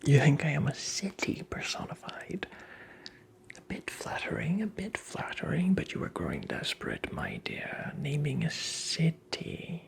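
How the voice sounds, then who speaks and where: A tricksy, creepy fairy-tale imp played by an ASMR performer whispers with a gravelly rasp. The delivery is slow and theatrical, full of taunting, mock courtesy. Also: medium pitch, wildly swooping pitch, exaggerated voice. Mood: mocking, indignant. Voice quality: breathy whisper with gravelly creak.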